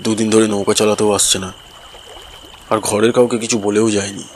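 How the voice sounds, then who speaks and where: A man's voice: lowish voice, little pitch movement, fairly quick, a little hiss or hum, natural voice. A man playing a humble, plainspoken village boatman in a cartoon, talking conversationally. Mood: musing, uneasy. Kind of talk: cartoon dialogue.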